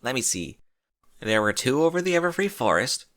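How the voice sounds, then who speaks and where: A man's voice: mid-range voice, very animated, fairly quick, fairly bright tone, dry recording, studio quality. A man voicing a brash young female pegasus pony with a casual, flustered manner, in a story reading. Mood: nervous.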